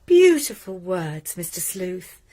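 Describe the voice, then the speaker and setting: A woman's voice: fairly low pitch, very animated, slow pace, warm tone, heavily acted. An actress playing a deferential, hopeful landlady who is eager to please her new lodger. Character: anxious, respectful middle-aged landlady, hopeful. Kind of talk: radio drama acting.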